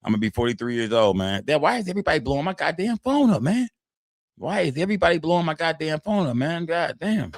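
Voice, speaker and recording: A man's voice: fairly high pitch, big pitch swings, quick pace, no echo, clean studio sound. A man in his forties hosting a live stream in loose, profane casual chat. He reads the chat aloud and repeats his phrases, tagging them with "man" and "fam.". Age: 40s.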